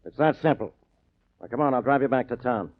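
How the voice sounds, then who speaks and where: An actor playing an exasperated, smug homicide inspector, sarcastic and impatient, in 1940s radio drama style. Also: male voice, medium pitch, animated, brisk. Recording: tinny, narrow-band old radio recording.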